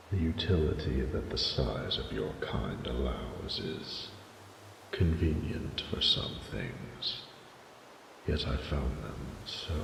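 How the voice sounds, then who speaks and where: A man's voice: a little hiss or hum, exaggerated voice. A man voicing a huge, proud male dragon in a romantic audio roleplay, doting and teasing, with formal, courtly phrasing and intimate murmurs. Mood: pleased, proud. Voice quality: very deep, gravelly, breathy murmurs.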